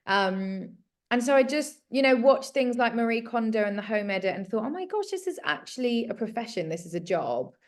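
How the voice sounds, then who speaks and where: A woman's voice: fairly high pitch, sing-song, a little fast. A woman in her thirties from South Africa, a guest talking casually and earnestly about her work and her story. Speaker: guest, home organiser. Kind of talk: casual conversation.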